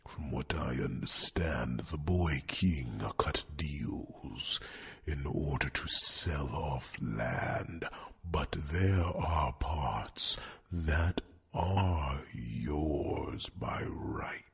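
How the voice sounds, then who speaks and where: An ancient, gentle dragon counsels in an ASMR fantasy roleplay. The voice is extraordinarily low and crackles with heavy fry. It moves very slowly and deliberately, pausing on thoughtful hums. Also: male voice, exaggerated voice. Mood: grave, counselling. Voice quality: gravelly, breathy, rumbling whisper. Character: old wise dragon, gentle male royal advisor to a young dragon.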